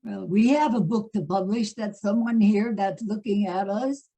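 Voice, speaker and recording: A woman's voice: medium pitch, moderate pace, mellow, husky. A woman speaking effusively in a casual group discussion. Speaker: participant in a community discussion.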